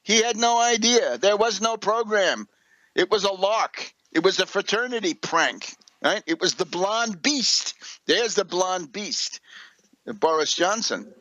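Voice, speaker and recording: A male radio host delivers an off-the-cuff political monologue in a fairly high voice. He is sardonic and scornful, repeating his points for emphasis and throwing out rhetorical questions. The sound is very dry, with no room echo. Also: expressive, unhurried, pushed and bright. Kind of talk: unscripted political commentary monologue.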